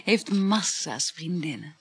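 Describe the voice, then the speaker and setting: A woman's voice: lowish voice, animated, slow, breathy edge, exaggerated voice. An actress playing a flighty, teasing, sensual woman with a lover in a Dutch radio drama, murmuring playfully and capriciously. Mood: coy, dreamy. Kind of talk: Dutch radio drama acting, intimate dialogue.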